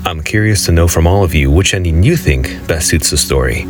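A man's voice: low-pitched, a little fast, music in the background. A man who hosts and produces a podcast, delivering a scripted introduction.

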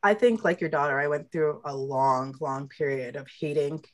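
A young adult woman with a lower voice, a guest speaking reflectively in a casual conversation and talking in imagery. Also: normal speed.

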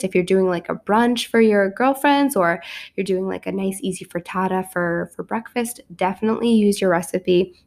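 A woman's raspy, gravelly voice, worn down by illness. She hosts her podcast in an easy, upbeat chat, rambling along with fillers and restarts at a middling pitch. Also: darkish tone. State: sick, resting her voice. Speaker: podcast host. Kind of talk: casual chat.

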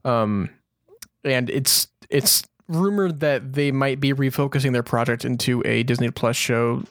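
A man with an average-pitched voice co-hosts a casual news discussion. He talks conversationally, with a fan's enthusiasm. The sound is very dry, without room echo. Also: unhurried. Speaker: podcast co-host.

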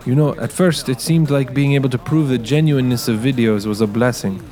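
A man's voice: medium pitch, a little fast, dark tone, faint music behind. A man narrating first-person audio fiction in character as a wary, streetwise ex-con. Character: wary, streetwise male ex-con in a tech dystopia. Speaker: narrator of a dramatized story, in character.

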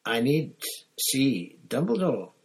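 A man voicing a deranged older official who rambles in halting, urgent bursts, louder and higher than his own voice. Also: very animated, vocal fry, breathy edge, exaggerated voice, poor audio. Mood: desperate.

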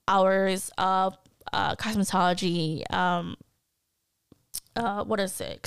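A woman's voice: medium pitch, smooth, dry recording. A woman in her thirties from the United States, hosting a podcast and talking candidly and chattily to listeners, often laughing. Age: 30s. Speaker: podcast host. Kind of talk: casual personal podcast monologue.

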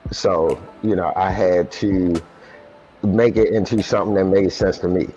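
A man being interviewed as a guest singer, telling stories in a relaxed, laid-back way. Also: low voice, unhurried, dull audio, faint music behind. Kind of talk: casual interview.

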